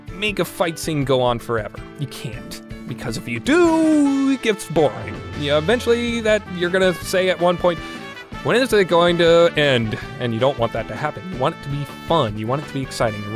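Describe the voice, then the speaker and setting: A man hosting a solo review podcast, talking casually and getting animated when he is excited. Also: on the high side. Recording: music playing underneath. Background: guitar music.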